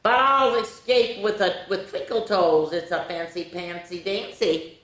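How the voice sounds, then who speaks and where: A woman's voice: fairly low pitch, measured pace, a bit bright, echoey room, pitched above the performer's own voice. A woman voicing a cheeky, boastful little male leprechaun in sing-song rhyme, reading a children's picture book aloud. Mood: taunting. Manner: sing-song rhyming taunts.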